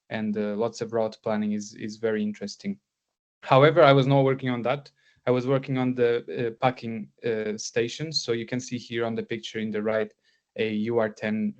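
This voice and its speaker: Hesitant lecturer from Portugal, slightly muffled. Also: male voice, mid-range voice, a little mellow. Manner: hesitant, many fillers and restarts. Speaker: guest lecturer. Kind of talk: academic lecture. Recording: slightly dull lo-fi sound.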